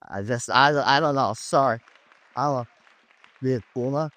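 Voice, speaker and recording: A man's voice: mid-range voice, a bit bright, no echo, a faint crowd. Hesitant teen boy, Japanese accent. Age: teens. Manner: hesitant, halting, many fillers. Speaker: international student. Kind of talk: student panel answers.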